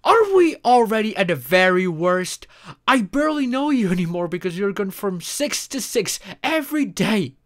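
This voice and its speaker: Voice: medium pitch, sing-song, moderate pace, natural voice. A voice actor playing an upset, caring live-in partner in an intimate audio roleplay, emotional and pleading. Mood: angry, hurt. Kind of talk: intimate ASMR roleplay voice acting, one side of dialogue.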